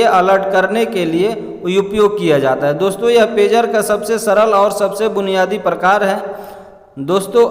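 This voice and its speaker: A man reads a scripted explainer in a halting way, addressing listeners as friends. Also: high-pitched. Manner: halting read-aloud delivery, often addressing listeners as friends. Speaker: presenter narrating an explainer. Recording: echoey room with long reverb.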